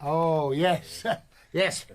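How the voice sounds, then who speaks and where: An actor playing a guarded, suspicious pub local in a radio drama. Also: male voice, on the high side, steady tone, extremely slow. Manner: terse, suspicious. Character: guarded, unwelcoming Yorkshire pub local, a man.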